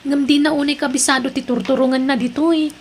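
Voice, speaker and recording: Woman acting a very high-pitched, chatty co-worker. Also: steady tone, quick pace, a little hiss or hum. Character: chatty, helpful female office co-worker. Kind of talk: Ilocano audio drama.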